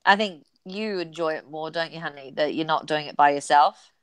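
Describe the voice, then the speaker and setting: A woman from New Zealand with a lowish voice, chatting as a guest on an online call. She is cheerful and rambling and often breaks into giggles. The sound is dry and close, from a mic right up to her face. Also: moderate pace, fairly bright tone, smooth, dry recording. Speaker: podcast co-host, guest. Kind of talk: casual chat. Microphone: close USB mic.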